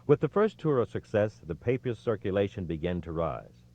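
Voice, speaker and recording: A man with a lowish voice narrates an audiobook in a scripted, storytelling read. His intonation swings widely, with emphatic phrasing. The audio is slightly dull and lo-fi. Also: very animated, brisk, darkish tone. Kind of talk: scripted narration, reading a history book aloud.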